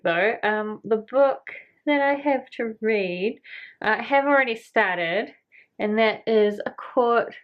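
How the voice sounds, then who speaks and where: A woman chatting casually to camera as a book vlogger, rambling along with self-deprecating, trailing asides. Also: mid-range voice. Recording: slightly dull lo-fi home recording.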